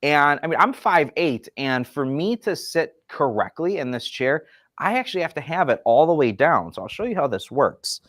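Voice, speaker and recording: A man's voice: a little high, sing-song, moderate pace, a bit bright, no echo, clean studio sound. A man giving an enthusiastic, explanatory product demonstration in a casual chat, with a folksy manner. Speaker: furniture company representative.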